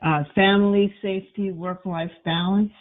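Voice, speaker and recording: A woman's voice: mid-range voice, fairly even intonation, moderate pace. Woman reading answers over a tinny line. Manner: reading out audience answers, hesitant fillers. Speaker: webinar moderator. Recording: tinny phone-like call line.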